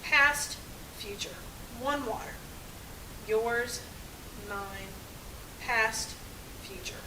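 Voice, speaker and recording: A woman presents to children in an emphatic, phrase-by-phrase manner. Her voice is fairly high, recorded at a distance in an echoey space with noticeable hiss. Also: extremely slow. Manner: emphatic, addressing an audience in short phrases. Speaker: puppet show presenter. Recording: echoey, distant mic, clearly hissy.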